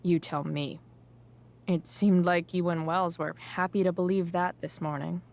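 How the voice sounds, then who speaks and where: A rebellious American teenage girl talks in a lowish, unhurried voice. She is dry, cheeky and guarded, tossing out slangy sarcasm in a naturalistic game drama. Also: steady tone, vocal fry, darkish tone. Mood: defiant, sarcastic. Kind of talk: naturalistic video game acting.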